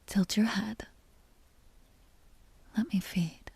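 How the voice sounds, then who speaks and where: A woman playing a seductive, possessive vampire duchess in an intimate audio roleplay, teasing and genteel in a breathy whisper. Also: medium pitch, a little mellow, no echo, full character voice. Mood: hungry, commanding. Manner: slow, teasing, genteel and commanding.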